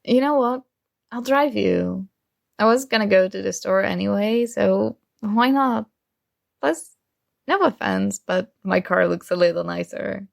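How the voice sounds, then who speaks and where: Slow, gravelly-edged jealous roommate played by a woman. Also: medium pitch. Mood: casual, offering. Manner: playful teasing, hesitant, trailing pauses. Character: secretly jealous female roommate, teasing, hurt but feigning indifference. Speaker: ASMR roleplay performer. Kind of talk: ASMR roleplay, one-sided intimate conversation.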